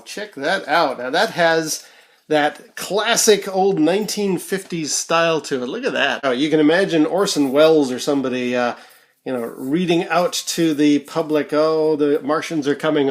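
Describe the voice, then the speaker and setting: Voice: medium pitch, moderate pace. A vlogger from Canada chatting casually through an unboxing, rambling and hesitant as they think aloud, recorded on an amateur home microphone. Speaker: YouTuber.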